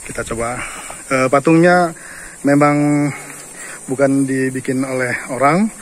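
A man's voice: medium pitch, slow, rough recording. A man talking casually to his viewers while walking and climbing through a cave, filming on a handheld phone. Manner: chatty, commenting while climbing. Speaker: vlogger. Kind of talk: casual travel vlog narration. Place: outdoors in a cave and on stairs. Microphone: handheld phone camera. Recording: outdoor recording with background noise.

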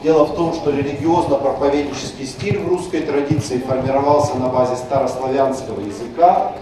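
A man's voice: medium pitch, steady tone, brisk, noisy background. Man, lecturer, repeats key terms, chatty asides, far from mic, strong room echo. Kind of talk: university lecture. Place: lecture hall.

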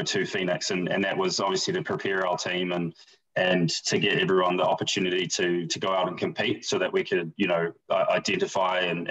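A man with a very deep, husky voice speaks as a baseball team manager on a video call. The audio is dull and muffled. He thinks aloud, repeating words as he goes. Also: fairly bright tone. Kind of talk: casual interview on a video call.

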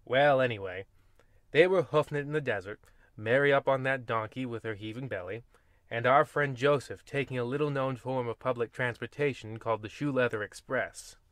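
A man's voice: mid-range voice, a little fast, a bit bright, no room sound. A man narrating in the style of an old-time horror radio host, played for comedy, with rambling, folksy and wry asides. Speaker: host and storyteller of a radio anthology.

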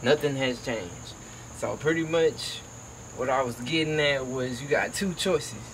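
A man's voice: mid-range voice, measured pace, a bit bright, a little hiss or hum, low-quality recording. A young man from Georgia, talking casually and emphatically in slang, like a motivational vlogger recording outdoors. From Georgia, United States. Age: young adult. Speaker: HVAC technician and vlogger. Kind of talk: casual motivational vlog talk. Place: outdoors by a house.

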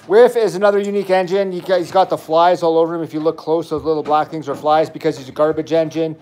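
A man's voice: on the high side, steady tone, quick pace, darkish tone. A middle-aged man, a dad, chatting casually about toy trains with his child on a livestream. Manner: enthusiastic, chatty, rambling toy talk. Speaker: toy channel host, dad.

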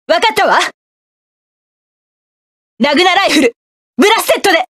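A woman voicing a fierce, commanding young mech pilot in a game, with forceful battle shouts and clipped commands. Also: extremely high pitch, expressive, normal speed, dry recording, studio quality. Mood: cool. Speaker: voice actress. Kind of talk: game voice clips.

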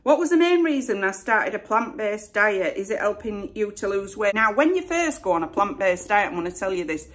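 A woman in her forties with a West Yorkshire accent, chatting to camera at home in a relaxed, self-deprecating way. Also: medium pitch, a little fast, hardly any pauses. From Northern England. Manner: chatty, self-deprecating, lots of "you know". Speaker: YouTuber. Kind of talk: casual Q&A chat to camera. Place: home room with flowers behind.

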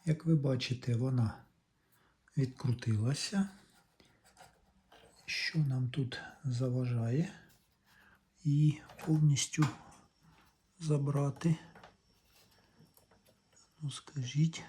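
Mellow-voiced man explaining hands-on repair. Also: medium pitch. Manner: thinking aloud, unhurried step-by-step explaining. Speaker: hobbyist electronics repairer presenting a video. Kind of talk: casual hands-on repair walkthrough.

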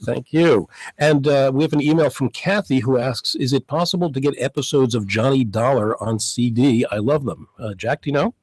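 A man's voice: mid-range voice, moderate pace, a little mellow, husky, no room sound, low-quality recording. A middle-aged man hosting a radio show, interviewing conversationally on a close studio mic. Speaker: radio host. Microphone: close studio condenser mic.

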